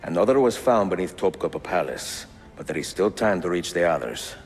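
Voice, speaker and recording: An actor playing a suave, confident older Italian adventurer in a game cutscene, with an Italian accent and measured delivery. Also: male voice, deep voice, animated, in a large hall. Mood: measured, intent. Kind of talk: dramatic video game cutscene acting. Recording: music behind the voice.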